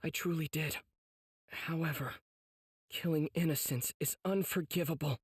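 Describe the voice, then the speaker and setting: A man's voice: on the high side, steady tone, normal speed, breathy edge, dry recording, studio quality. An actor playing an earnest, kind-hearted teenage boy demon slayer in an anime game, sincere and polite. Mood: solemn. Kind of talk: dramatic anime game voice acting.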